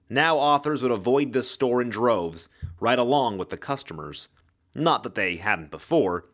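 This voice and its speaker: Male audiobook reader, mid-pitched, very lively intonation, dramatic storytelling. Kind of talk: dramatic scripted fiction narration.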